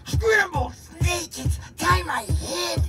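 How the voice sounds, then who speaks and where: A woman voicing a hungry snake who coaxes the mouse in a sing-song, drawn-out way. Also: very high-pitched, lively intonation, music in the background, pitched above the performer's own voice, exaggerated voice. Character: smooth, hungry male snake.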